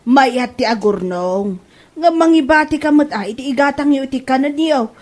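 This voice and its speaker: A woman's voice: high voice, normal speed, darkish tone, natural voice. A woman playing a blunt, fussy, scolding mother-in-law with an Ilocano accent in a comedy audio drama. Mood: scolding, lecturing. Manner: nagging, blunt, complaining.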